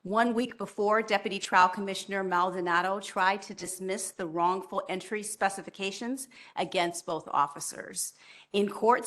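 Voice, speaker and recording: Woman chairing a formal board meeting. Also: mid-range voice. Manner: reads a prepared report, steady and measured.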